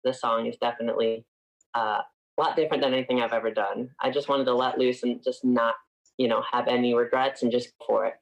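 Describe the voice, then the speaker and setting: A man's voice: medium pitch, steady tone, fast, vocal fry, muffled recording. A man in his twenties from upstate New York, a singer chatting casually as an interview guest and filling his talk with frequent "like"s.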